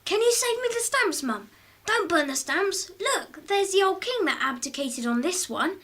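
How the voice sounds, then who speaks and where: A woman acting in a radio drama as an eager, excitable, chattering young schoolboy. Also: very high voice, moderate pace, full character voice. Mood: excited, eager. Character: eager, chattering young schoolboy son, keen stamp collector.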